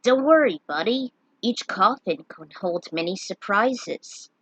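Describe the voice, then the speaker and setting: A woman's voice: medium pitch, lively intonation, slow pace, fairly bright tone, dry recording, poor audio. A woman voicing a carefree, gleeful young male adventurer in a fantasy story, breezy and coaxing. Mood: upbeat coaxing.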